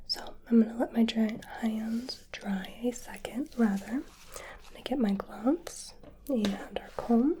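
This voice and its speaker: A woman whispering gently through a caring ASMR personal-attention roleplay, her voice a little hoarse and sniffly from hay fever. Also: fairly high pitch, noisy background. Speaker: ASMR creator.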